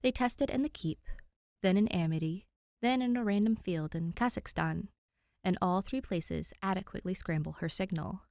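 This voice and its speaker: Woman, podfic host, expressive storytelling, close dry recording, medium pitch. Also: normal speed, dry recording. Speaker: podfic reader and channel host. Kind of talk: chatty intro, then expressive fan fiction narration.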